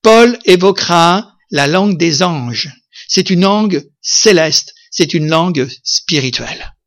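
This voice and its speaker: A male pastor delivering a sermon in a somewhat high voice. He preaches emphatically, pushing up to loud, ringing peaks and then sinking back to quieter stretches. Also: animated, slow pace.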